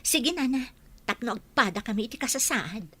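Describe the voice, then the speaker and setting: Woman acting scheming meddler, bright sharp tone, fairly high pitch, Ilocano accent, comedy radio drama. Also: lively intonation, moderate pace, husky, exaggerated voice.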